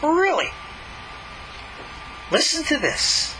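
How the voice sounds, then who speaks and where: Man, rabbi teaching, high voice, very sharp and forceful tone, argumentative, emphatic, scripture commentary. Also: wildly swooping pitch, very slow, a little hiss or hum, low-quality recording. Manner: emphatic, argumentative, rhetorical questions.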